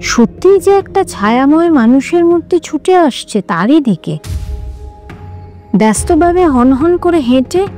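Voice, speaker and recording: Female audiobook narrator, very lively intonation, soft mellow tone, measured literary delivery, music underneath. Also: high-pitched. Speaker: story reader.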